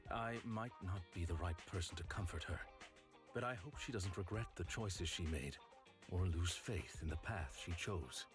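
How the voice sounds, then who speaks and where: An actor playing an earnest, courteous and remorseful male agent in a video game, speaking in a formal, measured way. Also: sits low, close to an everyday voice. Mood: grave concern. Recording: background music behind the voice.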